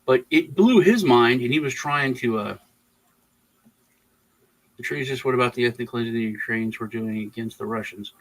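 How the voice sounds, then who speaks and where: A man with an average-pitched voice talks casually as a live show host. He rambles through his thoughts, repeating words and restarting phrases as he goes. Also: moderate pace, long pauses, poor audio. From Texas and Germany. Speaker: podcast host. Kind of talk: casual political chat.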